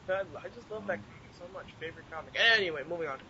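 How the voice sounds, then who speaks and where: A man with a high, sharp voice co-hosts a casual podcast. He jokes sarcastically and swears freely over muffled audio with a bit of noise. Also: fast talker. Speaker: podcast co-host. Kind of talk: casual joking podcast chat. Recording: lo-fi internet audio with noise, rumble.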